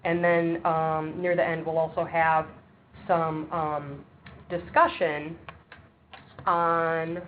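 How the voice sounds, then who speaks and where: Woman chairing a webinar, brisk and practical, heard over a thin phone line with faint hiss. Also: low-pitched, flat delivery. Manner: says she has a loud voice; brisk, practical, with frequent ums. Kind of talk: webinar presentation. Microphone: speakerphone on a table. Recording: tinny phone line with faint background noise.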